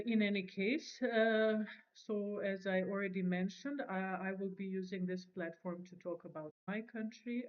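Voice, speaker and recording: A woman's voice: on the low side, dull audio. Hesitant woman in her forties. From Serbia. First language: Serbian. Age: 40s. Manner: hesitant, many fillers and restarts. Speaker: channel host. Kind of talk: unscripted self-introduction to camera.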